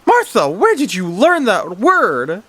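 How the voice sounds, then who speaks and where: Anxious housewife played by a man, very high pitch, fretful and exclaiming, cartoon story. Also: huge pitch swings, slow, fairly bright tone, husky, exaggerated voice. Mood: reproving. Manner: alarmed, exclaiming. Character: anxious, fretful wife and pet owner.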